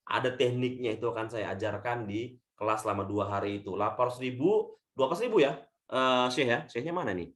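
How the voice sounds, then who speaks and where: Indonesian guy coaching on a video call, thin shrill voice, jumps loud then soft, keeps saying friends. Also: brisk. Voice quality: says his natural voice is thin and shrill. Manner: animated, swings loud and soft; addresses audience often as friends. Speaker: leadership coach. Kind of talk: webinar seminar talk.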